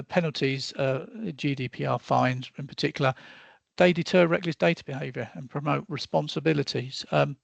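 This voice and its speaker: Male webinar presenter, middle-aged, gravelly voice, rambling and hesitant, dry close sound. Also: fairly high pitch, dry recording. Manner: rambling, hesitant, self-deprecating. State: losing his voice.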